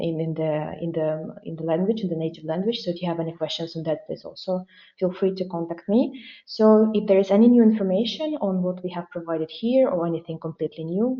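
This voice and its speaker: A woman's voice: on the low side, highs cut off. Woman presenting. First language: Ukrainian. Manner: explanatory, hesitant, with frequent fillers. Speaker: webinar presenter, regulatory expert. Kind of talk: online presentation. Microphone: webinar call microphone.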